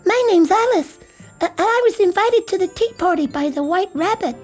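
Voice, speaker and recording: A man's voice: very high-pitched, lively intonation, moderate pace, faint music behind, pitched above the performer's own voice. A man voicing a polite, earnest, well-mannered little girl in a fairy tale reading.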